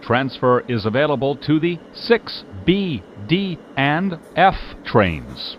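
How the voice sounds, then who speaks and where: Slow, varied-pitch male transit announcer. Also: big pitch swings, highs cut off, a little hiss or hum.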